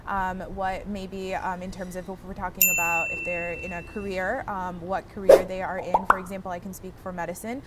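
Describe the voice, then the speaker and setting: A woman's voice: on the low side, level tone, fairly quick, few pauses, distant mic. Woman in her twenties, off-mic, traffic. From Michigan, USA. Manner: hesitant, many filler ums, earnest. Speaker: interviewee, medical student. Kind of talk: casual interview answers.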